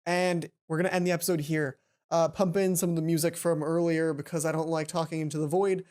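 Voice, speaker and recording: A woman hosting a let's play, reading a visual novel aloud and adding chatty, informal, animated asides. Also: low voice, a little fast. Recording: music behind the voice. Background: game music.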